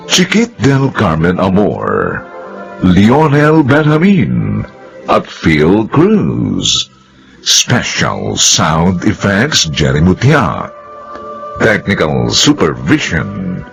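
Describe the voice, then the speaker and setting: Slow, gravelly radio announcer man. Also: low-pitched, a bit bright. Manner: slow, solemn, theatrical. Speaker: announcer and narrator. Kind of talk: closing credits announcement. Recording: music bed behind.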